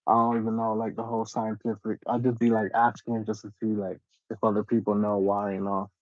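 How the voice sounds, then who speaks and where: A man's voice: fairly low pitch, monotone, a little fast, no echo, narrow, tinny audio. A man hosting a casual livestream interview, slangy and agreeable, asking questions in a laid-back way.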